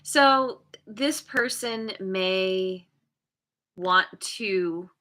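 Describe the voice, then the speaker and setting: Slow, bright-voiced woman reading tarot. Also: medium pitch. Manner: hesitant, many fillers and restarts; chatty, laughs at herself. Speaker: tarot reader.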